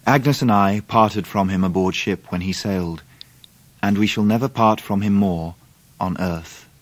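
An actor playing a gentle, devoted English gentleman recalling his life in a radio drama, with measured literary phrasing. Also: fairly low pitch, no echo. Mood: reflective, tender. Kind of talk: 1990s radio drama narration.

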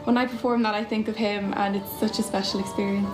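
A woman's voice: medium pitch, level tone, quick pace, roomy sound, over music, rough recording. Earnest young woman singer. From Ireland. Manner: earnest, heartfelt. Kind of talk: interview answers. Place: outdoors on castle grounds.

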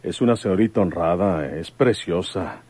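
A man's voice: low voice, moderate pace, a little mellow, dry recording. A man playing a fatherly, folksy rural police chief in an old radio drama. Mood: fond, hopeful.

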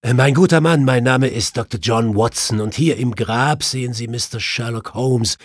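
A man's voice: mid-range voice, normal speed, breathy edge, dry recording, studio quality, pitched above the performer's own voice, natural voice. A man voicing a detective's earnest doctor companion in an audiobook, speaking politely.

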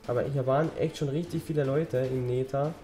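A teenage boy talks his way through a game, rambling and chatty, his voice slightly hoarse from a cold. His tone is mellow and dark, and faint music plays behind him. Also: mid-range voice, steady tone, a little fast. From German-speaking Europe. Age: teens. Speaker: gaming channel host. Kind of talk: casual let's-play commentary.

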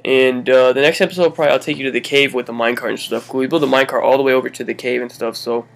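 A young man at a home mic gives a loose, rambling tour of his game world. His pitch sits in an ordinary middle range, and he talks in an easygoing way, trailing off and circling back often. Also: fairly even intonation, racing, gravelly. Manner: rambling, laid-back, many fillers and laughs. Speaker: gaming channel host. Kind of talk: casual game walkthrough commentary.

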